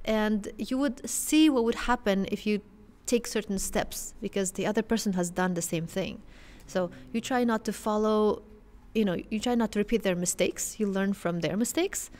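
A young woman with a mid-pitched voice talks close to a boom mic in a studio. She explains step by step, with the odd filler. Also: moderate pace, a little mellow. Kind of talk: casual conversation. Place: dark studio. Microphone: boom mic in front.

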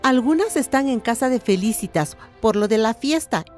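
A woman's voice: on the high side, animated, moderate pace, darkish tone, soft background music, close to an everyday voice. An actress playing a caring, indulgent mother in a radio soap opera. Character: caring, gently indulgent mother of a grown daughter.

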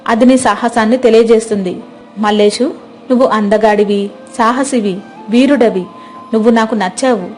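A woman's voice: fairly high pitch, a little mellow, natural voice. A woman voicing a landlord's young daughter who speaks in an earnest, pleading way. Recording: music playing behind.

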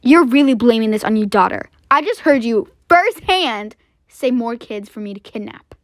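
Firm police officer for a toy-app drama, questioning, lively pitch, close dry sound woman acting it. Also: normal speed, no room sound, natural voice. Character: stern police officer rescuing kidnapped children.

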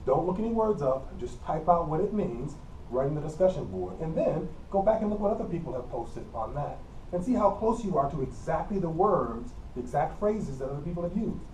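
A mellow-voiced middle-aged man with a Midwestern accent lectures on communication, repeating himself patiently in a plain teacherly way. His voice is caught by a camera mic across an empty classroom, so it sounds distant and roomy. A faint air-conditioner hum sits underneath. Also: fairly high pitch, animated, fairly quick. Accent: Midwestern American. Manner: plain, repetitive teacherly explaining with frequent coughs. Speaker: college communication instructor. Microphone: camera mic from across the room.

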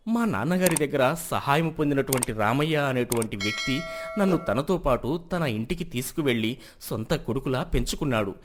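Man acting an earnest wronged young man, animated. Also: mid-range voice, a little fast, background noise, lightly acted. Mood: earnest, sorrowful. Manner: earnest, respectful, recounting. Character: wronged young man confessing theft, earnest landlord's son. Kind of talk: dramatised moral story acting.

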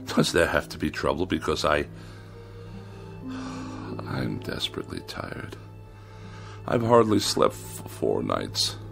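An actor playing a pompous, condescending food writer in a radio drama, fussy and lonely, with haughty, ornate phrasing. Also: male voice, a bass voice, very animated, takes it slowly, gravelly, pauses often, a bit bright, soft background music, full character voice. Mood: anxious. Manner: haughty, ornate, precisely worded speech.

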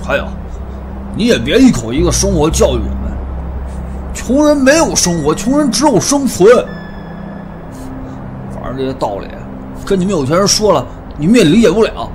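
A man's voice: high voice, wildly swooping pitch, moderate pace, long pauses, background noise. A man playing a brash, money-minded fat tomb raider in an audio drama, blunt and mocking in his delivery. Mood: bitter, sarcastic. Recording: loud music under the dialogue.

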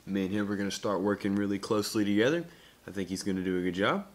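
A man's voice: fairly low pitch, quick pace. A young adult man chatting casually to camera as a vlogger, upbeat and slangy, in short punchy phrases. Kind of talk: casual vlog chat to camera. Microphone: camera at arm's length or on table.

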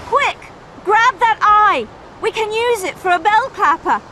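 A woman's voice: very high voice, animated, unhurried, bright, forceful tone, slight background noise, heavily acted, rough recording. An actress playing a spirited, haughty young lady adventurer in a video game, prim, tart and commanding. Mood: urgent. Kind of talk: scripted video game cutscene acting.

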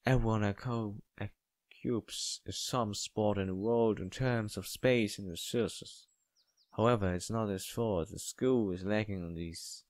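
A man with a non-native English accent voicing a composed, mysterious young woman from a visual novel, in a measured, hesitant manner. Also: on the low side, no room sound, lightly acted. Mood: measured, reflective. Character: mysterious, composed young woman, oldest student, soft-spoken.

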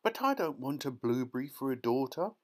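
A panicky, bossy storybook mother, performed by a male reader. The voice is pushed high and shrill, crying out in frantic, outraged exclamations. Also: big pitch swings, a little fast. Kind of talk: dramatised children's storybook reading.

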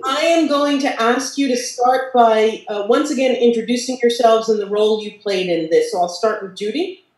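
A woman's voice: on the high side, normal speed, darkish tone. A middle-aged woman casually moderating a panel on a video call from indoors. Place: indoor room with bare wall. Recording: roomy video call sound.

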